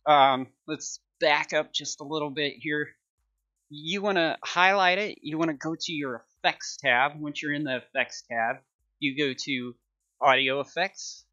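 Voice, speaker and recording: A man in his thirties hosting a casual software tutorial with an informal, upbeat manner. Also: on the high side, dry recording, muffled recording. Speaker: tutorial host.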